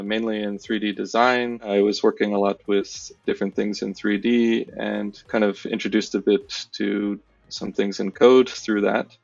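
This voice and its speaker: Gravelly low-voiced American man in his thirties. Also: dry recording, lo-fi sound. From United States. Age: 30s. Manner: easygoing, hesitant, many fillers. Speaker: former bootcamp student. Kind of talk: testimonial interview.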